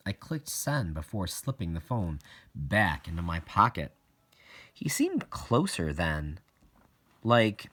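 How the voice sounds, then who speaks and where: A man reading a children's novel aloud in an expressive storytelling style, with deliberate, dramatic pauses. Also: deep voice, slightly rough. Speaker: audiobook reader.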